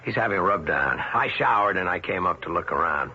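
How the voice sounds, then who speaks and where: An actor playing an enthusiastic, smooth, well-mannered husband in an old-time radio drama. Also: male voice, low voice, expressive, a little fast, pushed and bright, a little hiss or hum, full character voice. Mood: persuasive. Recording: dull old radio recording.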